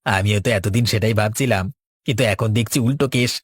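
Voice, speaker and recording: A man's voice: on the low side, a little fast, breathy edge, no echo, clean studio sound. An actor playing a sly, scheming henchman in a village cartoon, sneering and conspiratorial. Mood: alarmed. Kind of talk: Bengali cartoon dialogue.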